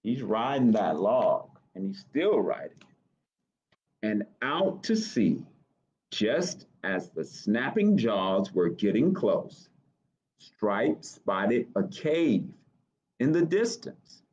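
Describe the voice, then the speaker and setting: An American man in middle age reads a children's picture book aloud at an unhurried pace. His pitch swings expressively as he stops to ask little questions. The webcam recording sounds slightly dull. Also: very animated, darkish tone. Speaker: library storytime reader. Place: small office, indoors.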